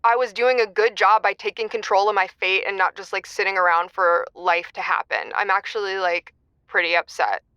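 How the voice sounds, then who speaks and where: Bright, earnest, lovelorn woman. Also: fairly high pitch, lively intonation, normal speed, clear, resonant tone, no room sound. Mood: let down. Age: 30s. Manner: filler-heavy millennial casual talk, stubborn. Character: earnest, obsessive lovelorn woman in her thirties. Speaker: caller to an advice show. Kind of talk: comedic audio drama, conversational phone-in.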